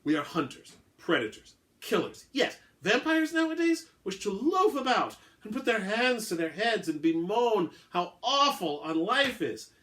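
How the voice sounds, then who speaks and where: A man's voice: sits high, very animated, a little slow, sharp tone, voice pushed up, exaggerated voice. A man voicing a suave, haughty centuries-old vampire with formal, pompous phrasing, in a dramatic story reading. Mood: disgusted. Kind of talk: dramatic reading of an all-dialogue short story.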